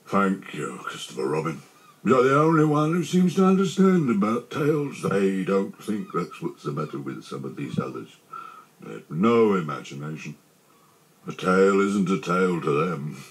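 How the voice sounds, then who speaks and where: A man voicing a gloomy, self-pitying old donkey in a children's storybook, speaking slowly and mournfully with lots of grumbling. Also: low-pitched, very animated, heavily acted. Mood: morose, grumbling. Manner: slow, mournful, complaining delivery.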